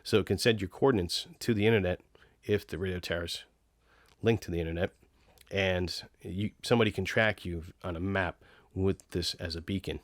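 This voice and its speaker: A man's voice: sits low, fairly even intonation, moderate pace, no room sound. A man hosting a casual product review, explaining technical details in an informal way. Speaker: video host reviewing radios.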